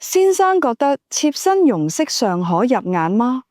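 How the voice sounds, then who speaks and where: A woman voicing a poised, seductive, scheming noble widow in an audiobook, with coy, measured, formal speech. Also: sits high, big pitch swings, darkish tone, no echo, clean studio sound. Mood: coy, soft.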